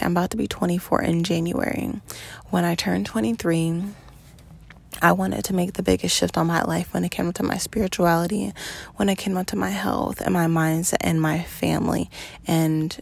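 A woman in her twenties hosting a podcast, talking in an earnest, reflective monologue with slow pauses and fillers. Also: fairly low pitch, fairly even intonation, gravelly, slightly rough. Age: 20s. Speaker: podcast host.

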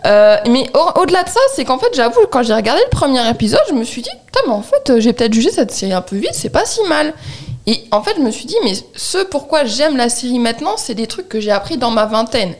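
A woman's voice: on the high side, sing-song, fast talker. A woman in her twenties, co-hosting a podcast and arguing animatedly about a TV series, with lots of fillers and restarts. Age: 20s. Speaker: podcast co-host. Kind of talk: casual debate about a TV series.

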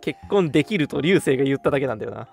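A man's voice: mid-range voice, expressive, rapid-fire, creaky. A young man co-hosting a radio show, chatting casually and laughing easily, sometimes reading out listener comments. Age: young adult. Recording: music behind the talk. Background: background music.

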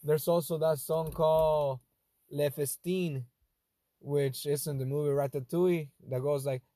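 A young man with a Spanish accent hosts a casual solo talk, his first language being Spanish. His voice sits slightly high and sounds mellow, recorded close and dry. He speaks thoughtfully, pausing and restarting phrases as he works out his point. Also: fairly even intonation, dry recording. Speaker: host. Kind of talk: casual monologue.

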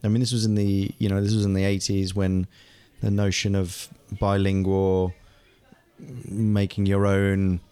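Man in his forties, lowish voice, chatty guest full of ums and restarts. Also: flat delivery, measured pace, mellow, smooth. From England. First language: German. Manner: chatty, hesitant, many fillers and restarts. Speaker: guest, actor. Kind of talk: casual conversation.

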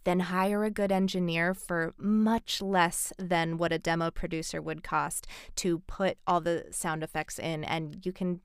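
A woman's voice: on the low side, fairly even intonation, measured pace, hardly any pauses, clean tone, dry recording, clean studio sound. A woman co-hosting a podcast and giving practical advice conversationally. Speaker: podcast co-host and voice actor.

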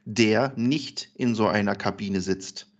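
A man's voice: lowish voice, takes it slowly, dull audio. A man co-hosting a podcast, chatting casually and mostly agreeing in short remarks. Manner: agreeing, brief interjections. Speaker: podcast co-host.

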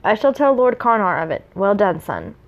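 A woman's voice: mid-range voice, sing-song, normal speed. A woman reading a storybook's older male officer, formal, hearty and approving. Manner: formal, commending. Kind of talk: storybook read-aloud dialogue. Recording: dull lo-fi recording.

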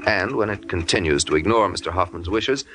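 A man's voice: low voice, animated, fairly quick. An American man announcing and narrating a 1940s radio mystery in a dramatic scripted style. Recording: vintage radio recording with organ music. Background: organ music.

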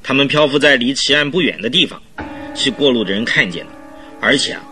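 A man's voice: medium pitch, soft background music. A man playing an eager, self-satisfied police detective chief in a radio drama, exclaiming and drawing out his phrase endings. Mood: smug, proud. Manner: animated, exclamatory, drawn-out particles. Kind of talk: Chinese radio drama acting.

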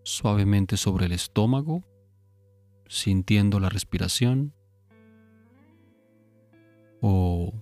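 A man narrating a guided sleep meditation in a calm, soothing manner, giving unhurried instructions with long pauses. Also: low voice, no echo.

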